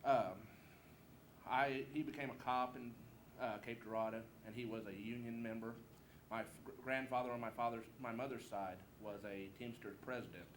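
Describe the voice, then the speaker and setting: A man's voice: mid-range voice, fairly even intonation, normal speed. A man from southeast Missouri with a Southern American twang, giving a campaign speech as a political candidate. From Southeast Missouri, United States. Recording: noisy live event room recording.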